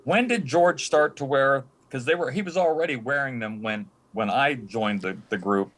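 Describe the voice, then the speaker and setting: A man's voice: mid-range voice, normal speed, fairly bright tone. An older man from the Detroit area, an interview guest chatting casually and often repeating words as he starts. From Detroit area, United States. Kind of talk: casual anecdotal chat.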